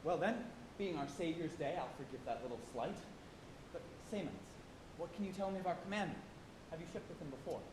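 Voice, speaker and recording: A man's voice: brisk, lightly acted. A man playing a proud, inquisitive merchant sailor new to whaling, with theatrical, old-fashioned stage speech. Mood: curious, affable. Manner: animated, challenging, archaic stage speech. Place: college auditorium stage, live performance. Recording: echoey, noisy theatre with rumble.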